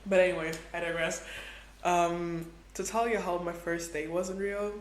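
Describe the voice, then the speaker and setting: Young male travel vlogger, high and fairly flat delivery, echoey room, faint background hum. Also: moderate pace. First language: Portuguese is his second language. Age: 20s. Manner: chatty, heavy use of "like". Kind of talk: casual travel vlog.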